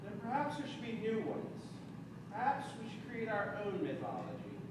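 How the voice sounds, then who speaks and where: A man's voice: high-pitched, huge pitch swings, normal speed. Man acting earnest mortal lover, echoey stage. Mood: earnest, hopeful. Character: exasperated mortal man in love with a goddess. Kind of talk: community theater stage acting. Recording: echoey stage from far off, noisy.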